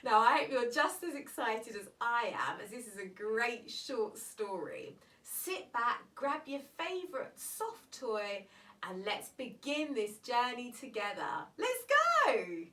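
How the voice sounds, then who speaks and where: A woman hosting a children's story show, telling tales in an expressive way with drawn-out emphasis. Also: on the high side. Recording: roomy, distant mic, faint noise.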